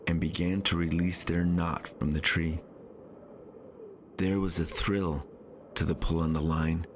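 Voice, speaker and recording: Slow, low-pitched man reading literary narration. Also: a little hiss or hum. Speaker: author reading own audiobook.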